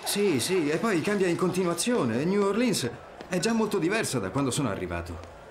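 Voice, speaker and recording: A man playing an enthusiastic, devoted young prince in an animated game dub. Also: on the high side, moderate pace, background noise. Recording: crowd and street ambience behind.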